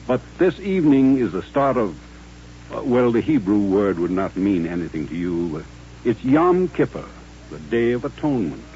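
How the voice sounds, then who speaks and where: An actor playing a solemn, courteous Southern gentleman colonel with a formal, reflective manner in an old radio western. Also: lowish voice, very animated, moderate pace, slight background noise. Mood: solemn, earnest. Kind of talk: 1950s radio western acting. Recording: dull, humming old-time radio recording.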